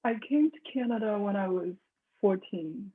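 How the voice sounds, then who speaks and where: A young woman from China, a composer, chatting in an interview with many restarts and soft laughs. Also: mid-range voice, lively intonation, moderate pace, over a noisy crowd. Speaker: composer guest. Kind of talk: casual interview conversation. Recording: husky voice over tinny phone-like line.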